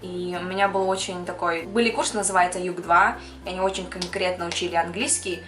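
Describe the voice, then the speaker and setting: A woman's voice: fairly low pitch, fairly even intonation, fairly quick, a bit bright, echoey room, slight background noise. A young woman from Kyrgyzstan who works as a makeup artist, chatting casually to camera and answering viewers' questions, sprinkling in English words and laughing now and then.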